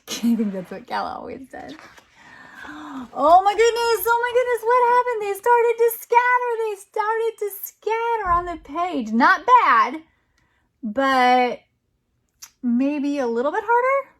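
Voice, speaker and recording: High-voiced woman leading children's storytime, slow-paced. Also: very animated. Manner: encouraging, exclamatory, drawn-out delivery to a young child. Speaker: schoolteacher reading a picture book aloud to a child. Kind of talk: interactive children's storytime read-aloud.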